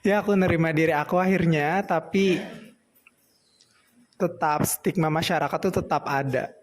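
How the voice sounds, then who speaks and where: A man's voice: on the high side, moderate pace. A twenty-year-old Indonesian man with a feminine manner, speaking candidly in an interview and mixing in English phrases. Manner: mixes English phrases into speech.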